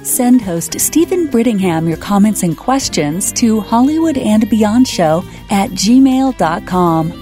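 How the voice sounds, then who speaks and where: A woman's voice: medium pitch, expressive, slow pace, few pauses, dark tone, faint music behind. A woman reading a scripted promotional announcement for a podcast.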